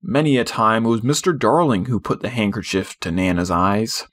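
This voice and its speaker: A man's voice: fairly low pitch, normal speed, fairly bright tone. A man reading a classic storybook aloud as an audiobook narrator, in scripted narration.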